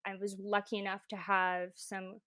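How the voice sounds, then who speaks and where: A woman in her twenties, originally from Croatia and raised in Canada, answers questions in an earnest, rambling way, with plenty of ums and restarts. Her voice is smooth and clear and stays fairly level in pitch. The recording is close and dry. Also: flat delivery, normal speed, sharp tone, no room sound. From born in Croatia, grew up in Canada. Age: 20s. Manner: earnest, with many fillers and restarts. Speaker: podcast guest. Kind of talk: casual interview conversation.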